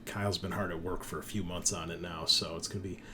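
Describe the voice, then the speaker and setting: A man's voice: low-pitched, level tone, fast talker, pushed and bright, faint music behind. A man hosting a tabletop roleplaying show, chatting casually with slangy, informal enthusiasm. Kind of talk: casual chat, introducing players.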